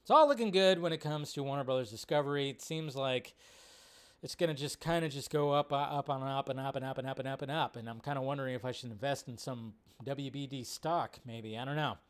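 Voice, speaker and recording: A man's voice: mid-range voice, brisk, clear, resonant tone. A man hosting a livestream in a casual, off-the-cuff way, rambling and repeating phrases as he chats with viewers. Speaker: livestream host. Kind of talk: casual live chat, reading and answering viewer questions.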